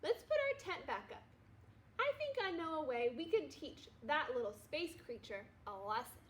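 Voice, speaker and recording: A good-natured young storybook boy, as a woman reads him. He speaks high, earnestly and plainly. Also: very animated, moderate pace, a little hiss or hum. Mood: scheming.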